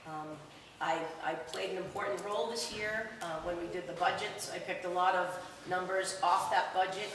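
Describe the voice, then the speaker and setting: A woman running for a school board seat, speaking without a microphone at a public meeting and pausing often on filler sounds. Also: on the low side, fairly even intonation, fairly bright tone. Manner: hesitant, many um fillers. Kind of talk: campaign speech at a public meeting. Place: large echoey public hall. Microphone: no microphone, far from the recorder. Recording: roomy hall echo, distant and noisy.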